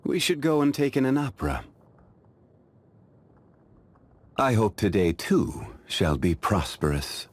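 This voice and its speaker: A male actor playing a calm, dignified, ancient immortal man in a video game, speaking in a measured, formal, refined way. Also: fairly low pitch, slightly rough. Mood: genial, composed. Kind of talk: scripted video game character voice lines.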